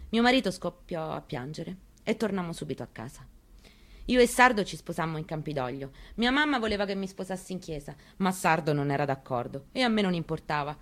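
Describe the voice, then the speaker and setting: An elderly grieving mother, played by an actress, speaks in a fairly low female voice. She is wistful and stubborn, confiding her memories in short, reflective phrases, as in an intimate radio drama monologue. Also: natural voice. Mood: fond, nostalgic.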